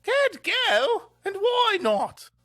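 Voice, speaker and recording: Guy doing a gushy posh british auntie, high falsetto, swoopy and theatrical, doting. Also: big pitch swings, very slow, sharp tone, no echo, studio quality, exaggerated voice. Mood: indignant. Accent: put-on British. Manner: theatrical, exclamatory. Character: posh, gushing Englishwoman, a doting aunt.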